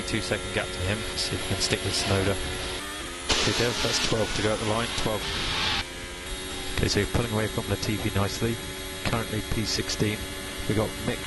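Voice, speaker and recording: A man speaks as a race engineer over team radio in a low, very even voice. He gives short, businesslike updates and calm encouragement, with heavy engine and vehicle noise all through the transmission. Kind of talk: terse radio briefing to a racing driver.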